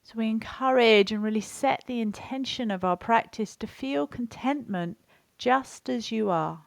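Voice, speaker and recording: A woman's voice: fairly high pitch, gravelly, no echo. Soothing woman guiding relaxation, close and dry. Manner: soothing, gentle, unhurried guidance with pauses. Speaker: yoga and meditation teacher. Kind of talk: guided relaxation and body scan instruction.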